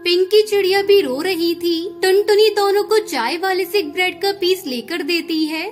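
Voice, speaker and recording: Very high-pitched woman storyteller. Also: moderate pace. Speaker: narrator. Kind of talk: scripted children's cartoon story narration. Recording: music behind the voice.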